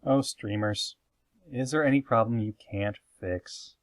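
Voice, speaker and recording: A man's voice: on the low side, measured pace. A man hosting a scripted opinion commentary, speaking in an emphatic, conversational and playfully sarcastic way. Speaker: host of a fan opinion video.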